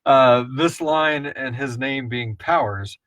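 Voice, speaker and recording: A man's voice: medium pitch, slow pace, a bit bright, no echo. A man co-hosting a live podcast Q&A in an informal, rambling, jokey way, casually reading out and answering listener questions. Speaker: co-host and producer of an audio drama podcast.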